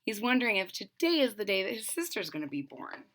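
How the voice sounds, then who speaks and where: A woman's voice: mid-range voice, big pitch swings, quick pace. A woman reading a children's storybook aloud, expressively, with explanatory asides to young listeners. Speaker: storybook reader.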